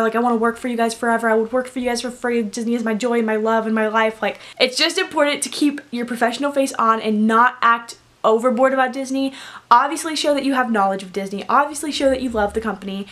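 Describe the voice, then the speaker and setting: A young woman vlogger sharing tips in a casual, rambling, chatty storytime style, with lots of "like" in her speech. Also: a little high. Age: young adult. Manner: rambling, informal, heavy use of "like". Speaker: vlogger giving interview tips.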